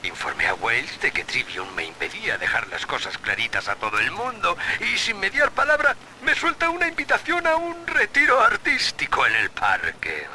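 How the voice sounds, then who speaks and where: A sly, talkative failed writer, recording a game audio diary. His sharp, bright voice leaps wildly up and down through faint noise. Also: on the high side, huge pitch swings, normal speed, full character voice, low-quality recording. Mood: smug, conspiratorial. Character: chatty, conniving frustrated male writer.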